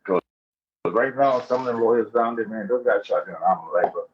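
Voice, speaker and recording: A man's voice: lowish voice, normal speed. A man from Trinidad giving a casual, slangy, chatty account as a guest caller. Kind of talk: casual account of personal experience. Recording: dull, lo-fi call audio.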